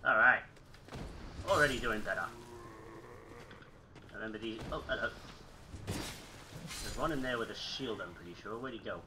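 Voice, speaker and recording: A man's voice: fairly low pitch, lively intonation, moderate pace, long pauses, background noise. A young man with a slightly phlegmy, sick-sounding voice, chatting casually over his gameplay from a small room at home. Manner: chatty, self-mocking, frequent throat clearing. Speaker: gaming channel host.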